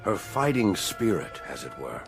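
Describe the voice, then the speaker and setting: A man's voice: low voice, very animated, measured pace, faint music behind, full character voice. An actor playing a concerned, plain-spoken male doctor in a radio drama, speaking thoughtfully. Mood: grave, concerned.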